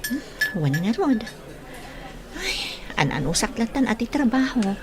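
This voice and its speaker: A woman's voice: on the high side, very animated, unhurried, noisy background. A woman acting a modest, sincere young sweetheart in an Ilocano radio drama, speaking politely and with care. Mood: resigned, grateful.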